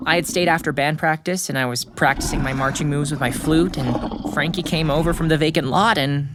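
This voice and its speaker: A woman's voice: low voice, brisk, very noisy, close to an everyday voice. A woman acting the part of an anxious, protective schoolkid with a secret pet in an audio drama, speaking hesitantly. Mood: earnest, sheepish. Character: Nico, earnest anxious schoolkid hiding a pet baby dragon. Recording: music bed behind the voice.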